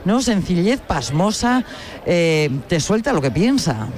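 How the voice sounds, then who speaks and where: A woman's voice: fairly low pitch, big pitch swings, a little slow, darkish tone. Woman hosting live radio outdoors. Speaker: radio host.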